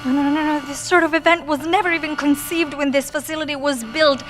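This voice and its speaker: A woman's voice: high-pitched, fairly even intonation, fairly quick, music in the background, natural voice. A woman playing an exasperated female scientist who speaks in an emphatic, insistent way, in an audio drama.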